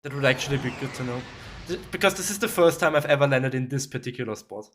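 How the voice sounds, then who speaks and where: A man's voice: mid-range voice, fast talker, fairly bright tone, a little hiss or hum. A young Austrian man, a native German speaker, chatting casually with his stream while playing a game, swearing now and then. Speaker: speedrun streamer. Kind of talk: casual live-stream chat while gaming.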